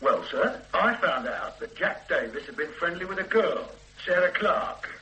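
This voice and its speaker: An actor playing an eager, cheeky detective sergeant in a radio drama. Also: male voice, high voice, moderate pace, a little hiss or hum, low-quality recording. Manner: cheeky, lively, persuasive. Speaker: police sergeant. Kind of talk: 1970s radio drama acting.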